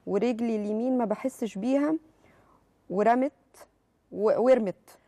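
A woman in her thirties with an Egyptian accent hosting a TV talk show, reading viewer messages and taking calls briskly. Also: a little high, mellow, dry recording. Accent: Egyptian Arabic. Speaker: TV host. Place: TV studio.

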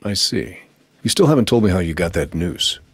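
An actor playing a skeptical military interrogator in a video game, husky and dryly sarcastic. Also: male voice, low voice, sing-song, normal speed, no echo, heavily acted. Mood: insistent.

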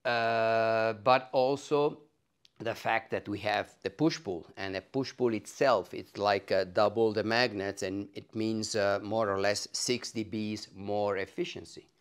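A middle-aged man with an Italian accent, explaining technical things in an interview with hesitant, halting phrasing. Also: lowish voice, steady tone, clean tone. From Italy. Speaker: loudspeaker designer being interviewed. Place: carpeted home listening room, indoors. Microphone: clip-on lapel mic.

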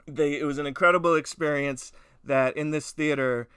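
Clear-voiced man chatting. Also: on the high side, steady tone, normal speed, pushed and bright, dry recording, clean studio sound. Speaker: podcast co-host. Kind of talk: casual movie discussion podcast.

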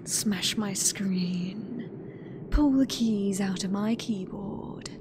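A British woman voicing a hateful sentient AI god in a breathy, deliberate whisper for an ASMR horror roleplay. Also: mid-range voice, background noise. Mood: taunting. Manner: slow, deliberate, menacing, theatrical. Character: hateful sentient female AI god gloating over last human.

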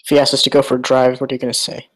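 A man's voice: mid-range voice, completely flat delivery, rapid-fire, close to an everyday voice. A man reading the part of a cautious, worried accomplice in a screenplay table read. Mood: plain.

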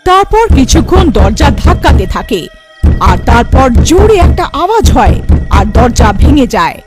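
Woman narrator, high pitch, animated intonation, scripted horror cartoon storytelling, prominent music. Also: a little slow.

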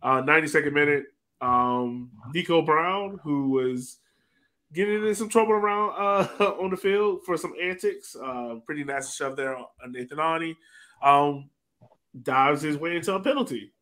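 A man's voice: a little high, normal speed, a bit bright. A man hosting a casual soccer discussion over a video call, moving the panel along. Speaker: soccer podcast host. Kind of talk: casual chat, moderating discussion.

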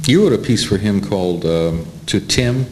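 A man's voice: fairly low pitch, expressive, moderate pace, darkish tone, faint music behind. An American man acting as interviewer and moderator in an informal panel discussion. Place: panel table in a room with an audience.

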